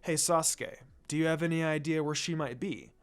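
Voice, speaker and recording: A man's voice: fairly high pitch, moderate pace, soft background music, close to an everyday voice. A man voicing a laid-back male ninja teacher in a story reading, casual and unruffled.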